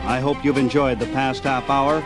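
American radio host over loud music. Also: male voice, mid-range voice, flat delivery, normal speed. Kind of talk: scripted radio sign-off.

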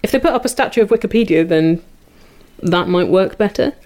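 A woman's voice: medium pitch, lively intonation, fairly quick, a little mellow. A woman chatting satirically as a comedy panellist, with a wry, informal manner.

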